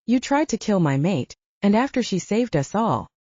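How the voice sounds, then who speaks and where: A woman's voice: mid-range voice, very animated, normal speed, darkish tone, slightly rough, no room sound, muffled recording. A woman voicing a cool, sardonic, protective male werewolf with a dry, understated manner in an audiobook. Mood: cold anger. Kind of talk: audiobook character acting.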